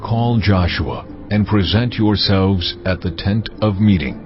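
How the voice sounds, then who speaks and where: God, deep, slow and grave. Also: male voice. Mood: solemn. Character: God, a deep solemn divine male voice. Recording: muffled lo-fi audio with music.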